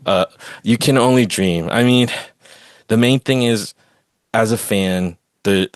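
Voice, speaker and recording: This is a man with a low voice, recorded close and dry. He hosts a casual sports podcast and gives his opinions in a rambling, conversational flow. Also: slow, no echo. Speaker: podcast host.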